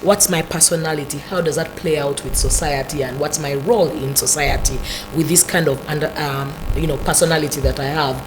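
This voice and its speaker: A Ugandan woman with a deep voice, a talk show guest explaining her ideas thoughtfully with many "you know" asides. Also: steady tone, brisk, hardly any pauses, music in the background, rough recording.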